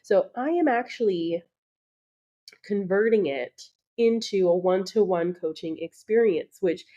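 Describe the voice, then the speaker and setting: A woman's voice: medium pitch, expressive, unhurried, warm tone, muffled recording. A woman hosting her own podcast as a beauty business coach, talking casually and encouragingly with plenty of ums, a little nasal and congested from allergies. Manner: chatty, encouraging, many ums. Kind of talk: casual solo podcast talk.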